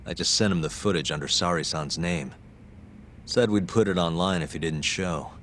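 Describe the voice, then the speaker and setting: A man's voice: low voice, normal speed, fairly bright tone, slight background noise. A man playing a cool, wry, determined detective in a video game cutscene. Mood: focused.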